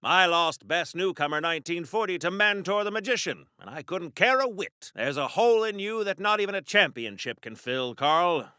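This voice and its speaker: A man's voice: high-pitched, lively intonation, normal speed, hardly any pauses, pushed and bright, clear, resonant tone, no echo, clean studio sound. A man playing a pompous, wholesome golden age comic book superhero in a video game, with wordy, old-fashioned genteel diction in the style of a 1940s radio serial. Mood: earnest, musing.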